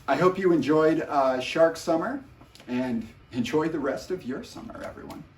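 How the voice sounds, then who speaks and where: A man's voice: a little high, normal speed, a little hiss or hum. A man presenting a trivia question casually, with short conversational asides. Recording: roomy echo, distant from mic.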